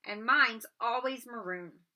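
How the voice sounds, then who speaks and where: Woman reading as a young storybook schoolboy, casual, plain-spoken, easily amazed, bright tone. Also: a little high, slow pace, muffled recording, full character voice. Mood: casual wonder.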